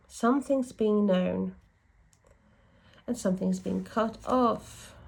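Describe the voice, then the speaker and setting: A woman's voice: mid-range voice, gravelly, a little mellow. A woman giving a casual tarot reading, sounding bunged up from a cold and musing aloud with frequent self-corrections. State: congested from a lingering cold. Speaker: tarot reader.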